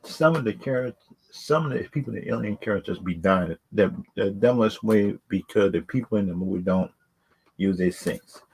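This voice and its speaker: A man's voice: lowish voice, normal speed, darkish tone, breathy edge, rough recording. A man giving casual, unscripted opinions as a video host, rambling and often repeating words and stock phrases. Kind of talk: casual unscripted opinion talk on films and games.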